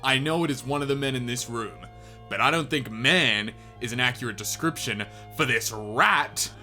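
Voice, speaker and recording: A man's voice: medium pitch, normal speed, pushed and bright, soft background music. A man playing a paranoid, domineering company boss in a comedy sketch, with a melodramatic, hard-boiled interrogating manner. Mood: stern, suspicious.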